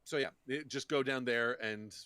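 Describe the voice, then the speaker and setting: A man playing a tabletop roleplaying game, casually describing his character as he thinks out loud. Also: mid-range voice, normal speed, clear, resonant tone, no room sound.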